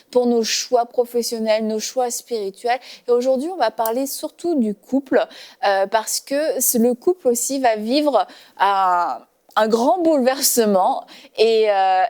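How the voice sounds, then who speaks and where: A woman hosting a faith talk show from a studio set, chatty and warm with laughter, using many fillers. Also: on the high side.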